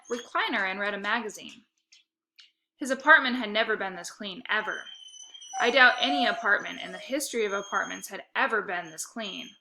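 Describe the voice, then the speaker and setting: A woman reading a children's story aloud in an expressive, emphatic narrating style. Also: fairly high pitch, rough recording. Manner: animated, emphatic dialogue.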